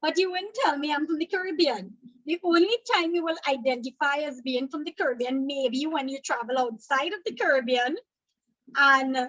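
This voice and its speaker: A woman's voice: sits high, moderate pace, a bit bright, no echo, dull audio. A woman from Trinidad and Tobago with a Trinidadian accent, teaching an exam revision lecture, explaining points step by step and checking in with "okay?".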